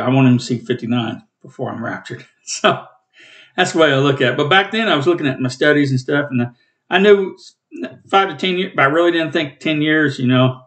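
A man in his late fifties with a rough, coughing throat, giving a rambling, plainspoken Bible study from home over a webcam. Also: mid-range voice, a little fast, a bit bright. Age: 50s. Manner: rambling, plainspoken, self-corrects. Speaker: Bible teacher and channel host. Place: small room at home, indoors.

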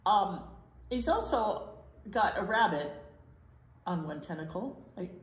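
An American woman in her sixties reads a picture book aloud as a children's storyteller, expressive and explaining things simply. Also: lowish voice, slow pace. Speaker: children's storytime reader at a library. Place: library children's room, indoors. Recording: roomy, echoey indoor sound.

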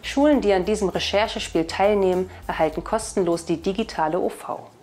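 A woman's voice: medium pitch, brisk, darkish tone, faint music behind. A woman in her thirties with a German accent presents scripted local news as the host of a weekly video bulletin. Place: indoor video studio. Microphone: lapel mic.

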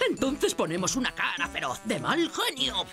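A woman voicing an excitable, exclamatory comic bird in a cartoon. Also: a little high, moderate pace. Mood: showing off. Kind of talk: exaggerated cartoon voice acting. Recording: music behind.

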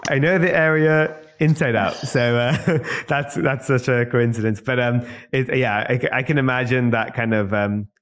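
A man's voice: medium pitch, steady tone, normal speed, few pauses. Enthusiastic young male podcast host. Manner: enthusiastic, rambling questions with many fillers. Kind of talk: casual interview questions. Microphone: studio microphone on a stand.

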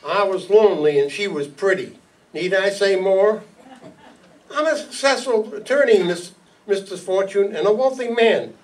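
Old-radio attorney, played by a man: moneyed, measured, high for a man, picked up from a distance in a hall. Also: lightly acted. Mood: composed. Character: smooth, wealthy male attorney in a noir story. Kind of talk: 1950s radio drama acting.

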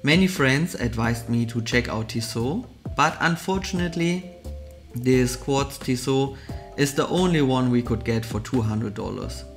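Male vlog host, mid-pitched, keen explainer voice-over with light music behind. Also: a little slow. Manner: enthusiastic, explanatory product commentary. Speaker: vlogger, watch channel host. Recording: soft music underneath.

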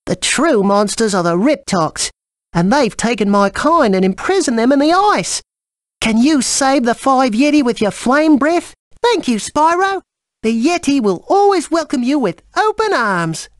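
Gentle yeti, highly animated. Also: female voice, unhurried, dry recording, clean studio sound. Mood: earnest. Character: peaceful, gentle female yeti seeking help. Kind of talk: video game character dialogue.

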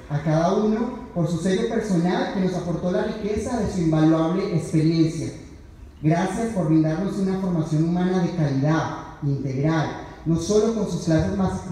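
High-voiced young man speaking in echoey hall. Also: dark tone. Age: young adult. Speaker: graduating psychology student giving a speech. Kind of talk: prepared graduation speech read at a podium. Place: large university auditorium, indoors. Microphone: podium microphone, picked up by distant audience camera. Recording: echoey hall from far off, faint noise.

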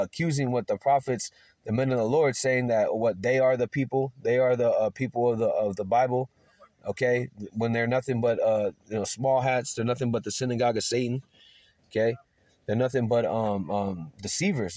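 A man teaching from scripture in a rambling way, full of fillers and rhetorical questions, reading verses and articles aloud haltingly. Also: medium pitch, a little mellow, no room sound. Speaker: preacher. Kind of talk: screen-recorded Bible teaching.

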